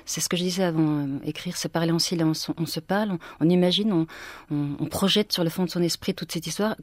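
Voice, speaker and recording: A woman's voice: lowish voice, darkish tone, no room sound. A French woman in her fifties, an author speaking thoughtfully in a radio interview, often repeating words as she searches for them. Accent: French with Swiss touches. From France. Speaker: author guest.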